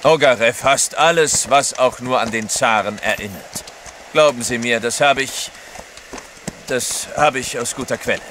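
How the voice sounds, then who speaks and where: An actor playing a bold Russian courier in disguise, decisive and commanding, in an audio drama. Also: male voice, mid-range voice, a little slow, a bit bright, a little hiss or hum, close to an everyday voice. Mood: grave. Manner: decisive, commanding, sure of himself. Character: bold, resolute Russian courier posing as merchant guide. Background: dramatic music.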